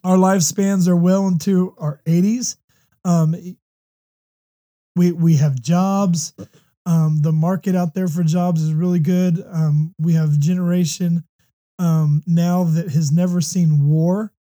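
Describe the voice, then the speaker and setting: A hoarse, slightly congested man from the United States hosting a faith talk show, speaking earnestly with many ums and restarts. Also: high-pitched, steady tone, unhurried, warm tone. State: hoarse with a runny nose. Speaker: podcast host.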